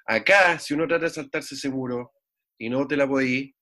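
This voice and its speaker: A man with a Chilean accent speaking as an interview guest, relaxed and talkative. He circles back, restarts his phrases and leans on local slang. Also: medium pitch, moderate pace. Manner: rambling, repeats words, Chilean slang. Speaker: guest musician. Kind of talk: casual interview chat.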